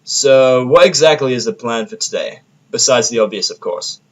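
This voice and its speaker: A narrator plays a grumpy human man stuck among ponies in an audio drama. He is sarcastic and easily exasperated, with a bitter, blunt edge to his medium-pitched voice. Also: expressive, moderate pace, lightly acted, poor audio. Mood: dry, sarcastic.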